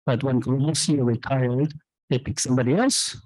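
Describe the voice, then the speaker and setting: A man's voice: mid-range voice, fairly even intonation, fairly quick, darkish tone, no echo, rough recording. A man in his fifties from South Korea, a startup chief answering audience questions on stage with candid, rambling talk full of fillers and restarts. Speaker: startup founder and CEO speaking at an event.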